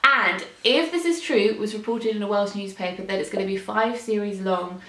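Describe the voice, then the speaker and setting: A young woman in a casual book chat, explaining things in a chatty, animated way. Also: medium pitch, fairly quick. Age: young adult. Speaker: book discussion co-host. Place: small indoor room with bookshelves. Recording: echoey room sound.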